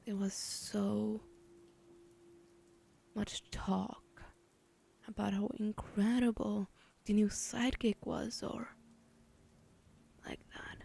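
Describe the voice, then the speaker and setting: A woman's voice: medium pitch, creaky, mellow. A woman playing a teasing, menacing female villain in an ASMR roleplay, whispering breathily with a taunting, condescending manner. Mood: curious, mocking.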